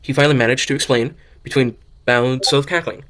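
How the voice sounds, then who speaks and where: Male narrator, amateur fan fiction reading, mid-pitched, trips over lines and restarts them. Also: fairly even intonation, fairly quick, a bit bright, slight background noise. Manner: stumbles over and repeats words. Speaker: narrator of fan audio drama.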